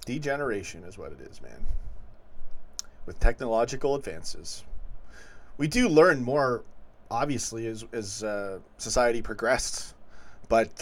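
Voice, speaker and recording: Man arguing with his livestream chat. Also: on the low side. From not yet been to Liverpool. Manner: argumentative, reacts to chat comments, laughs often. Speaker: livestream host reading chat.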